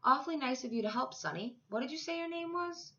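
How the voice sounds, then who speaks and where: A woman voicing a big, brisk, kindly storybook lunch lady with a large voice. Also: animated, fairly bright tone, lo-fi sound. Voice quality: large, booming voice. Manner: bossy but affectionate, addressing small children.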